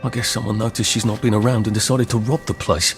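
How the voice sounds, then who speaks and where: A man's voice: fairly low pitch, little pitch movement, fast, faint music behind, close to an everyday voice. An actor playing a mild, curious young Englishman in a video game, muttering hesitantly and wryly to himself with an English accent. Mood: puzzled musing.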